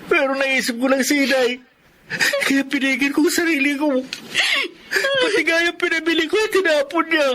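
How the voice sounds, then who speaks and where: Loyal, plaintive young son in Filipino radio drama, very high youthful pitch, Filipino accent. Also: male voice, normal speed, pushed and bright, slight background noise. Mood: hurt, aggrieved. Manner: earnest, plaintive. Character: hardworking, aggrieved boy, loyal son.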